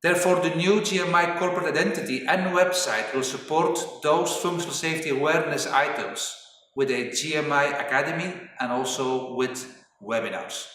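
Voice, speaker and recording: A man's voice: fairly high pitch, moderate pace, a bit bright. Flemish-accented man presenting in an echoey room. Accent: Flemish Belgian. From Belgium (Flanders). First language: Flemish Dutch. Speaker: webinar presenter, safety engineering trainer. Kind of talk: corporate webinar presentation. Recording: very echoey reverberant room, distant mic.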